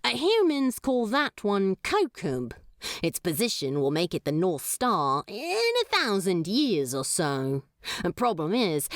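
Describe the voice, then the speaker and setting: A woman's voice: medium pitch, dry recording, clean studio sound, full character voice. A woman voicing a cheeky male demon who talks in a breezy, slangy, blunt way.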